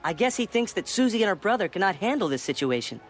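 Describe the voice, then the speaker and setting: A bookish young wizard student in a fantasy game, speaking very high and with lively swings of pitch. He sounds eager and slightly smug, over light music. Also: fast talker. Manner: animated, bookish, expressive. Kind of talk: video game character acting.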